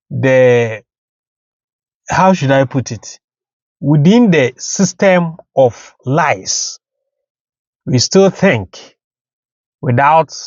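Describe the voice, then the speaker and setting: A Ghanaian man offers unscripted commentary as a host. He speaks slowly, in halting, deliberate little phrases, at a medium pitch. The recording is dry and a little dull. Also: no echo.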